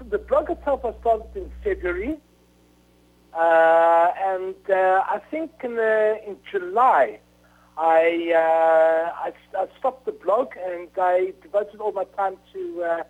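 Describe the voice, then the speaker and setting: A middle-aged man with a South African accent, speaking fairly high for a man. He answers interview questions haltingly, stopping for uhs and restarting his sentences. The sound is thin and tinny, like a phone line. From Rhodesia, now Zimbabwe. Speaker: author guest.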